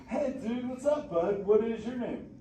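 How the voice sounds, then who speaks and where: A man's voice: extremely high pitch, expressive, measured pace, a crowd in the background. A man voicing a laid-back, slangy surfer-dude male sea turtle, talking playfully with a live audience. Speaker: live show character performer. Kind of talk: live interactive audience show. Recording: echoey theater from far off, noisy.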